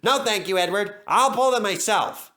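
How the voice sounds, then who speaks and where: A man voicing a proud, eager young steam engine that talks in a determined, excited way. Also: high-pitched, moderate pace, voice pushed up. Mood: confident.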